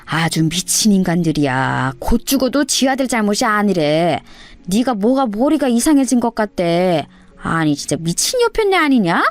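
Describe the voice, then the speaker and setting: A woman's voice: medium pitch, big pitch swings, moderate pace, lightly acted. Indignant older mother played by a female narrator, foul-mouthed and scornful; audio-story drama over music. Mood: outraged scornful.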